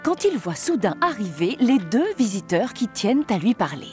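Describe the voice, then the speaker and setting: A woman narrating a dubbed children's cartoon in a lively storybook manner. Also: mid-range voice, normal speed. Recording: studio dub over loud music.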